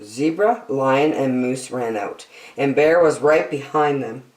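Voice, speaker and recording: A woman with a lowish voice reads a children's story aloud. She is theatrical and unhurried, with very lively intonation. The sound is roomy and echoey. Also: far from the mic. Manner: theatrical, deliberate phrasing. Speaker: storytime reader. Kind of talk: children's picture book read-aloud.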